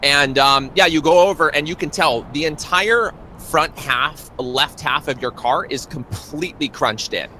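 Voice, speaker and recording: Bright-voiced man narrating as game master. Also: a little high, a little slow, few pauses, slight background noise. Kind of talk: narrating a tabletop roleplay.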